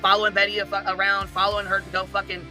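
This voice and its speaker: A man's voice: high voice, completely flat delivery, racing, sharp tone, faint music behind. A man arguing in an informal, heated way, blunt and emphatic, with constant swearing.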